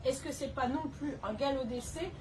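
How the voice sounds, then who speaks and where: Woman interviewer, high voice, familiar and teasing, noisy distant recording. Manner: brisk, familiar, teasing questions. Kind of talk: casual interview.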